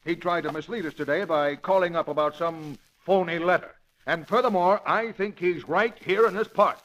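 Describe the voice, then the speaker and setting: An actor playing a self-satisfied, earnest and pompous police commissioner in an old radio drama. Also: male voice, a little high, normal speed, a bit bright, dull audio, lightly acted. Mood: smug, insistent. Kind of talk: 1940s radio drama acting.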